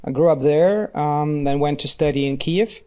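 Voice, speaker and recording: A man from Ukraine, a company CEO, answering questions as a podcast guest in relaxed, reflective talk with many fillers and restarts. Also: on the high side, normal speed, clean tone.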